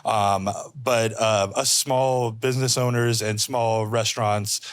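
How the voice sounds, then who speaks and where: A man, a chef and small restaurant owner, answering interview questions in an earnest, halting way with many pauses. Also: lowish voice, steady tone, clean tone.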